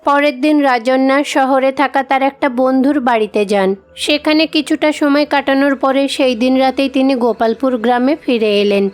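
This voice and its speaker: Female storyteller, high pitch, scripted children's tale narration, soft music bed. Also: a little fast.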